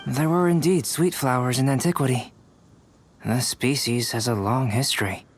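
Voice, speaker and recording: Man acting a composed scholarly young man, medium pitch, formal, precise, game dialogue, faint music. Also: moderate pace, natural voice. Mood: calm, assured.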